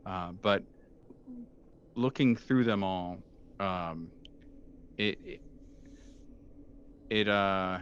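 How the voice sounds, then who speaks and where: A man running a horror tabletop game as game master, improvising his descriptions haltingly and searching for words. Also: sits low, fairly bright tone, a little hiss or hum. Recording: dull, muffled sound with music behind. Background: background music.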